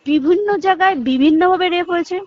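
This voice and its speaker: High-pitched, insistent young Bengali woman. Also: fast talker. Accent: Bengali, West Bengal. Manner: emphatic, insistent, full of rhetorical questions. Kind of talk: private phone conversation. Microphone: phone call. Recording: muffled lo-fi phone call recording.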